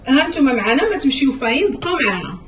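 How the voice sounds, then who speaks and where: A woman's voice: sits high, sing-song, very fast, a bit bright. A woman with a Moroccan Arabic accent explains her ingredients and talks straight to the viewers. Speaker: cooking vlogger. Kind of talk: casual cooking explanation. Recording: distant mic with faint room noise.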